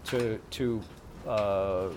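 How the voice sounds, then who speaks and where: A man speaking for his company, answering press questions hesitantly, with many pauses and restarts. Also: on the low side, fairly even intonation, noisy background. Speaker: company spokesperson.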